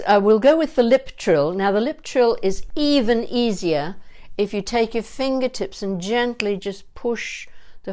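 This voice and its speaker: A woman voice coach leading a warm-up lesson in an informal, encouraging and playful way, explaining each exercise as she goes. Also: mid-range voice. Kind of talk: instructional vocal warm-up lesson with demonstrations.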